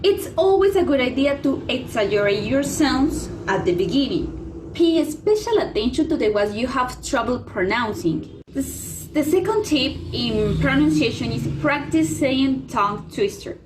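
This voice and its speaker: A woman's voice: high voice, animated, a little slow, background noise. A woman student reading pronunciation tips aloud for a class, with a hesitant delivery and occasional slips. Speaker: student presenter.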